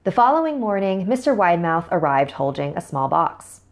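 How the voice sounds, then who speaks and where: A woman's voice: on the low side, sing-song, moderate pace, faint music behind. A woman narrator reading a scripted horror story in the first person.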